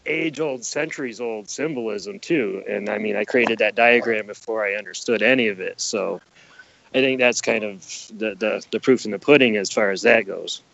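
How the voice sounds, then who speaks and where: A man's voice: on the low side, normal speed, creaky, fairly bright tone, highs cut off, slight background noise. A man speaking as an interview guest, explaining things casually and emphatically, often repeating his phrases. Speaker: podcast guest.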